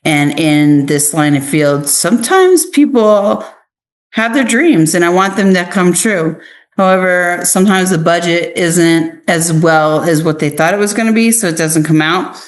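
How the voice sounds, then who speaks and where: A woman's voice: lowish voice, expressive, measured pace. An American woman giving conversational interview answers in an earnest, emphatic manner. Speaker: interview guest, actress and author.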